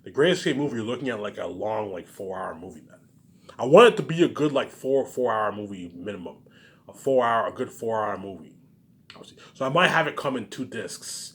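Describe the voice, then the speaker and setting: Rambling, repetitive man with a low voice. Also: lively intonation, fairly quick. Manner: repetitive, keeps saying "man" and "obviously"; swings between loud bursts and mumbled trailing endings. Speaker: vlogger. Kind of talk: rambling casual monologue.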